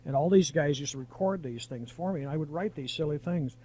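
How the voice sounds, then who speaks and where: A man's voice: fairly high pitch, fairly quick, warm tone, slightly rough. A mature man, a veteran radio broadcaster, reminiscing in a rambling, anecdotal on-air chat. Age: middle-aged or older.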